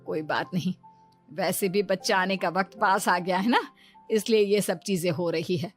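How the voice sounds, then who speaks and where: A woman's voice: lowish voice, moderate pace, faint music behind, natural voice. A woman playing caring relatives of a pregnant wife in a cartoon story, soothing and reassuring. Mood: concerned. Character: caring husband and mother-in-law, soothing and reassuring. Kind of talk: Hindi animated moral story acting.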